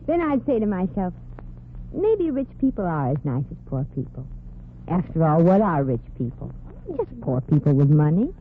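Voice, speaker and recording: Brassy NY showgirl; wisecracks, lively swings, tinny vintage broadcast. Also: on the low side, moderate pace, slight background noise. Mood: wry. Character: wisecracking brassy young showgirl, streetwise and sarcastic. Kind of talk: 1940s radio comedy acting. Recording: tinny old radio broadcast.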